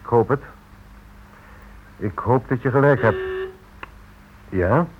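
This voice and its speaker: Actor as a cautious financier, muffled old recording. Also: male voice, medium pitch, slight background noise, lightly acted. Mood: guarded. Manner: measured, formal, probing. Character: cautious, formal businessman financier, money-minded and discreet. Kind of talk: radio play acting.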